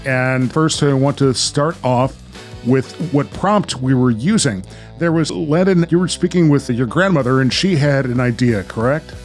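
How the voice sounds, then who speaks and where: A man's voice: mid-range voice, measured pace, soft background music. An American man hosting a podcast, chatting casually and narrating. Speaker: podcast host.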